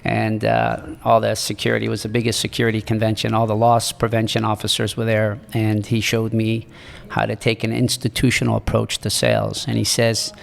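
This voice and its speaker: A man in his sixties from Morocco, a native French speaker, telling his life story as a podcast guest in a halting, earnest way. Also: lowish voice, monotone, creaky, in a large hall. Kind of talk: reflective storytelling in an interview.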